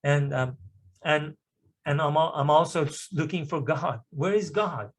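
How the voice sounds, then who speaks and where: A man's voice: fairly high pitch, a little slow. Earnest man giving a spoken testimony. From Iran. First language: Persian. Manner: earnest, emphatic storytelling with swells of intensity. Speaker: guest speaker giving testimony. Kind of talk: personal testimony sermon.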